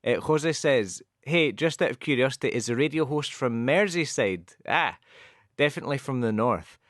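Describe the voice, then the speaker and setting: A man's voice: mid-range voice, lively intonation, a bit bright, dry recording, clean studio sound. Glaswegian man, patient explainer. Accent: Glasgow. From west of Scotland. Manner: patient, explains slowly, mixes in Spanish. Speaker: radio show co-host teaching English. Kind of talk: bilingual radio chat, explaining football idioms.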